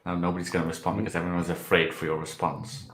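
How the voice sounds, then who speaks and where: A man with a lowish voice hosts a casual chat over a video call. He jokes easily and laughs a lot. His voice rings a little in an echoey room. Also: fast talker, slight background noise. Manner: laughs often, relaxed and joking. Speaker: podcast host.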